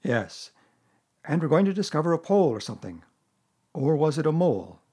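A man voicing a slow-witted but eager, amiable male toy bear in a children's storybook. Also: a little high, sing-song, dark tone, slightly rough, voice pushed up. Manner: simple, amiable, earnest.

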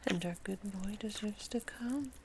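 Clear noise and small clicks sit around a woman's voice. She speaks slowly at a middling pitch, slipping between breathy whispers and soft, soothing cooing in an intimate adult ASMR style. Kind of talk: sexually explicit whispered roleplay audio, ASMR.

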